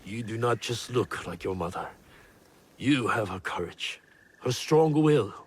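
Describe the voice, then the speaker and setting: An actor playing a solemn, tender middle-aged shinobi father and clan elder, speaking in a measured, reflective way in a video game drama. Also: mid-range voice, expressive, husky. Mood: tender, grave.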